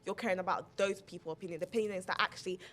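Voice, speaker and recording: A young woman hosting a podcast, chatting casually about faith in long, animated run-on stretches full of "like". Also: mid-range voice, rapid-fire. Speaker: podcast host.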